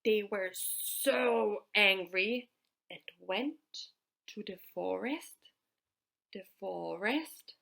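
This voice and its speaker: A woman telling a fairytale in a halting, deliberate way, pausing between short groups of words. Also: mid-range voice, vocal fry, a bit bright, rough recording. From Austria. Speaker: storyteller. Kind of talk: slow fairytale storytelling.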